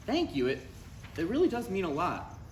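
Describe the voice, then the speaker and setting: A man's voice: high voice, huge pitch swings, moderate pace. Put-upon son, guy reading him, dry and exasperated, voice jumps around, echoey live comedy read. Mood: warm, hesitant. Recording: echoey live room with faint noise.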